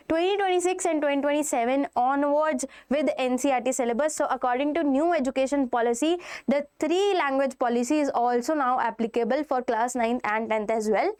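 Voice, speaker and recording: High-voiced Indian woman teacher explaining. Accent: Indian English. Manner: addresses students directly, checks in with "okay" and "right". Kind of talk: explaining a school policy update to students.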